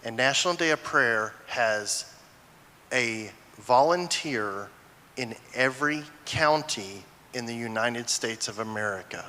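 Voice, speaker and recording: A man's voice: medium pitch, expressive, slow pace, bright, forceful tone. A man giving an informal stage talk to an audience, presenting a film. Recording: echoey large hall.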